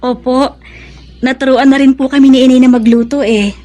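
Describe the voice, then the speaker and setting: Radio drama casting: actress as dutiful young Filipino daughter, respectful, high youthful pitch. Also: female voice, steady tone, moderate pace, dark tone, lightly acted. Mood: polite, subdued. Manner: respectful, polite. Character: polite, dutiful young daughter helping her mother.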